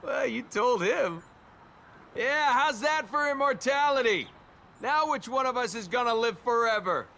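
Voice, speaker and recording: An actor playing a cocky, wisecracking young adventurer in a video game. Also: male voice, extremely high pitch, animated, a little slow, gravelly, pushed and bright, a little hiss or hum, full character voice. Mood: taunting. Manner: wisecracking, flippant. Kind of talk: video game voice acting, bantering dialogue.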